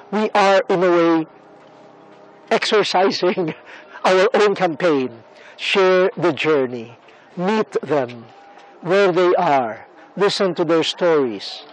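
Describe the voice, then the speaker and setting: Middle aged church guy talking slow and earnest outdoors, kinda high voice, lots of uhs. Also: low-quality recording. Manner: measured, earnest, with frequent pauses and fillers. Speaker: church leader visiting a camp. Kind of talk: reflective on-camera statement. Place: outdoors on a rooftop above a camp.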